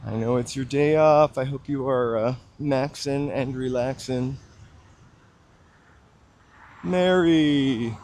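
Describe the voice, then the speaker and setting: A man's voice: mid-range voice, a little mellow. Chatty man streaming on a street walk. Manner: rambling, chatty, filler-heavy. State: slightly froggy voice, dry cough. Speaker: livestream walking host. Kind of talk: casual chat to live viewers while walking. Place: outdoors on a city street.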